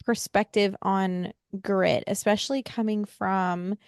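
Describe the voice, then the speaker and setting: A woman hosts a podcast interview, asking friendly, encouraging questions and filling pauses with short fillers. Her voice sits at a middle pitch and sounds dry and close to the mic. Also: fairly even intonation, creaky, no room sound. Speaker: podcast host.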